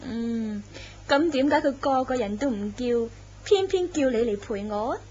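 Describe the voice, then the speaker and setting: Woman voicing a sly young mistress, teasing, knowing, radio drama, fairly high voice, dull lo-fi sound. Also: lively intonation, moderate pace, husky. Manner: teasing, knowing, drifts into soft laughs. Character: scheming young mistress of a jealous tycoon. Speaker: actress in a horror radio drama. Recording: dull lo-fi recording.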